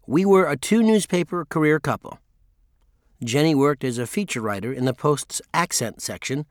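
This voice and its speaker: A man's voice: fairly high pitch, lively intonation, moderate pace, no room sound, studio quality. A man narrating a memoir audiobook in a scripted first-person storytelling style.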